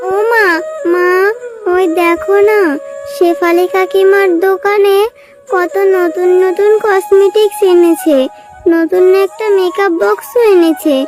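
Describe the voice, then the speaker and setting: A woman's voice: very high voice, fairly even intonation, slow, over music. A woman voicing a little fox girl in a cartoon, an eager, whiny daughter who wheedles and pleads. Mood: whiny, begging. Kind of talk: cartoon character acting.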